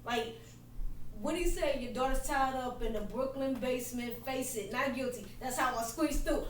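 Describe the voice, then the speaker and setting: A woman hosting a podcast, chatting in an excited, animated way. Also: high-pitched, normal speed. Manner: excited, animated casual chat with laughs. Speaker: podcast host. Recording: roomy echo from far off, faint ambience.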